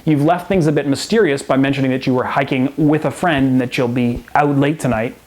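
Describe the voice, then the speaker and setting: A man's voice: mid-range voice, fairly quick, darkish tone. A man speaking as a relationship coach and host, delivering scripted advice straight to the viewer in an instructive way. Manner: instructive, direct address to the viewer. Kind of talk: scripted advice video to camera.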